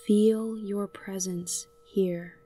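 A woman guides a meditation in a mellow, gentle voice. She speaks very slowly in short, calm phrases. The mic sounds a little distant, with singing-bowl hum behind her. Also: mid-range voice. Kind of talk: guided meditation, slow soft narration. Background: humming singing-bowl tones.

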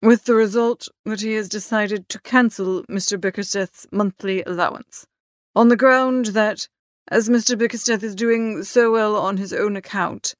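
A woman reading the part of a polished, deferential gentleman's manservant in a formal, measured manner for an audiobook. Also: medium pitch, fairly even intonation, dry recording, clean studio sound, lightly acted. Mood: calm, deferential.

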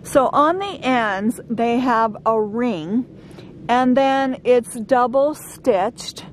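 A woman's voice: fairly high pitch, lively intonation, extremely slow. A middle-aged woman vlogging outdoors at a desert campsite, chatty and explaining things step by step with frequent fillers. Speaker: van life vlogger. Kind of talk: casual how-to vlog talk. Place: outdoors at a desert campsite under a sun shade. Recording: outdoor recording with clear wind and vehicle noise.

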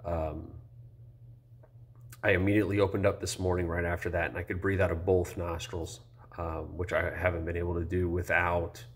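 Guy in his 30s, low voice, kinda congested, lots of ums, just chatting to the phone camera. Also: moderate pace, husky. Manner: relaxed and rambling, many ums and restarts. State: congested after nasal surgery. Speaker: vlogger. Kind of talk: casual first-person review. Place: indoor room with high ceiling. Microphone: phone camera held close.

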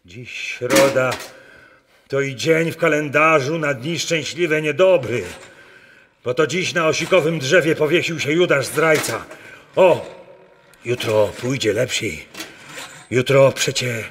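A man's voice: mid-range voice, takes it slowly, pauses often. A man acting a devout, hopeful peasant treasure seeker in a Polish radio drama, solemn and prayerful in folksy old-fashioned speech. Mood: weary, devout. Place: wooden barn used as radio drama set. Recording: reverberant barn, faint noise.

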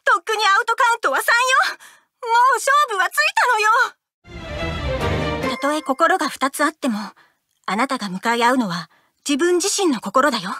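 A woman's voice: high voice, big pitch swings, moderate pace, pushed and bright, exaggerated voice. A woman voicing a strong-willed, caring teenage girl for a video game, earnest and emphatic. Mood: urgent. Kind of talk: video game character voice clips. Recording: game music behind.

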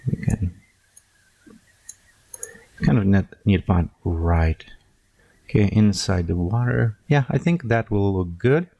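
Low gravelly man walking through software. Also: lively intonation, no room sound, lo-fi sound. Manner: unhurried, thinking aloud while clicking. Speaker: software tutorial instructor. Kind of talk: screen-recorded software tutorial.

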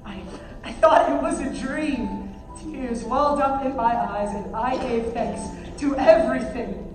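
A man's voice: very high voice, a little slow. A young man playing a reflective, devoted father, telling his story earnestly in a stage play. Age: young adult. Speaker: amateur stage actor. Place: theater stage. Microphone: far audience camera. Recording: distant echoey theater hall, music behind.

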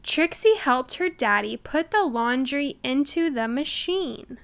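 A woman reading a children's picture book aloud, with deliberate and expressive enunciation. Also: sits high, creaky. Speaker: storybook reader. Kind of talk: children's read-aloud narration.

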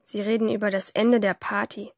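A woman's voice: medium pitch, level tone, fairly quick, darkish tone, dry recording, studio quality, close to an everyday voice. An actress playing a troubled, yearning teenage schoolgirl in an introspective first-person radio drama monologue. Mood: tense, observant. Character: troubled, yearning teenage schoolgirl narrating her inner life. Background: music under the voice.

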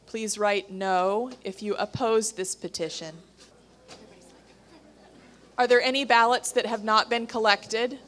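A woman making formal procedural announcements as chair of a meeting, deliberate in manner. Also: on the high side, steady tone, fairly bright tone.

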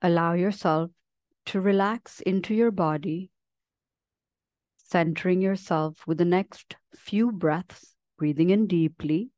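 Slow, low-pitched woman guiding meditation. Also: no echo, studio quality. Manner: gentle, unhurried with long pauses. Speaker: meditation teacher. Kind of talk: guided meditation, slow soothing instruction.

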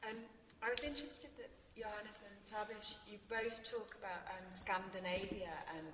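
A woman's voice: mid-range voice, steady tone, moderate pace. A woman chairing a panel, asking a long question with many hesitant ums. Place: large hall, seated on stage. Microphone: far room mic. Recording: echoey hall from far off, faint noise.

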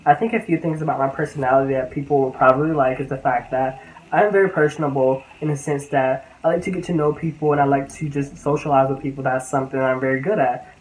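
Host, twenties, from Alabama, chatty and earnest, webcam. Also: male voice, mid-range voice, slight background noise, rough recording. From Alabama, USA. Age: 20s. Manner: earnest, talkative. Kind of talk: casual self-introduction. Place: bedroom at home.

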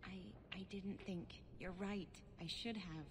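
An actress playing a troubled, gentle elven wife in a video game, speaking in a hesitant, halting way. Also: female voice, medium pitch, fairly even intonation, noisy background, lightly acted. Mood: fearful, pleading. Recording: game audio with clear background ambience.

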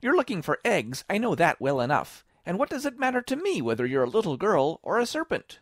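Casting: man to play an indignant, frantic mother pigeon for a storybook; shrill, high, scornful, loud outbursts. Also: wildly swooping pitch, brisk, dry recording, clean studio sound, full character voice. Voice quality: shrill, screechy. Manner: accusing, contemptuous. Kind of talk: storybook character voice.

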